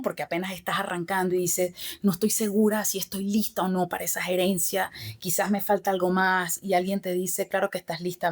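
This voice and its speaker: Middle-aged female guest, lowish voice, earnest and reflective, peppers her talk with fillers. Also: darkish tone. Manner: earnest, many fillers and tag questions. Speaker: podcast guest, author and former corporate manager. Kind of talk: reflective interview answers.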